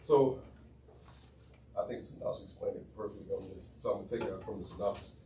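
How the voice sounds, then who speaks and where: A man, a legislator presenting his bill by reading its synopsis steadily and quickly. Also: fairly low pitch, heavy background noise. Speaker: bill sponsor. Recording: echoey room, distant mic.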